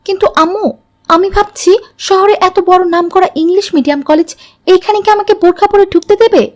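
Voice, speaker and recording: Woman voicing a very high-pitched earnest teenage girl. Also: fast. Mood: worried. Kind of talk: moral-tale cartoon dubbing.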